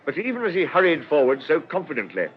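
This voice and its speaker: The narrator of a radio detective tale, reading literary prose with lively rises and falls. His voice is mid-pitched, on a muffled recording with faint noise. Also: fast talker. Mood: descriptive. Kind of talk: scripted narration.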